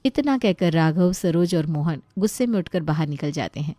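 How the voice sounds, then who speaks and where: A woman's voice: on the low side, lively intonation, moderate pace, mellow, no room sound, clean studio sound. A woman narrating a scripted story as a storyteller.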